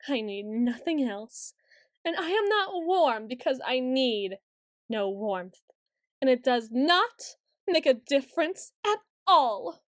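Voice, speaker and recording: Woman voicing a female vampire, ancient and haughty, slow imperious delivery, petulant, theatrical, close dry sound, audio roleplay. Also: sits high, dry recording, full character voice. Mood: haughty, scornful. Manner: imperious, dramatic, archly formal. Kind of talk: scripted ASMR roleplay monologue.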